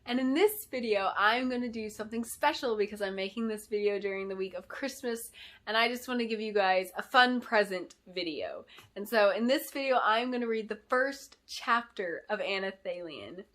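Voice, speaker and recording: A woman's voice: medium pitch, normal speed, fairly bright tone. A woman author presenting and reading her own fantasy story in a storybook narration style. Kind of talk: storybook narration with spoken introduction.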